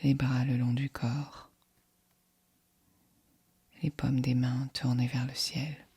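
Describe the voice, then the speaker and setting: A woman guiding a yoga nidra meditation in a soft, breathy, whispery voice, calm and soothing. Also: a bass voice, no room sound. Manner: calm, soothing, measured delivery. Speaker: meditation guide.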